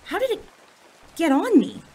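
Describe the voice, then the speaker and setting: Casting: actress for sarcastic teen girl sidekick, high-pitched and very animated, exasperated, audio drama. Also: moderate pace, exaggerated voice, poor audio. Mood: wary, questioning. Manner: exasperated, questioning, dry. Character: skeptical, sarcastic teenage girl, reluctant superhero sidekick.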